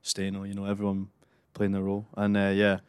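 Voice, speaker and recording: A man co-hosting a sports podcast, relaxed and modest as he talks about a match. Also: deep voice, brisk, smooth, dry recording. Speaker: co-host and player. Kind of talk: casual sports podcast chat.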